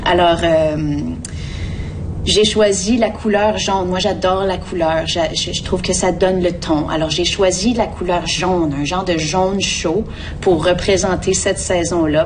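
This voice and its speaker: French-Canadian woman, low-pitched, distant noisy recording. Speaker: theatre artistic director being interviewed. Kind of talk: spontaneous interview answers. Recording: distant microphone, clear rumbling background noise.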